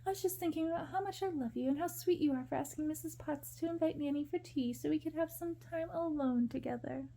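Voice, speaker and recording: A woman's voice: sits high, brisk, creaky, hardly any pauses, dark tone, pitched above the performer's own voice. A woman voicing a sweet, naive young noblewoman in a storybook, girlish, eager and tender. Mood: adoring, excited.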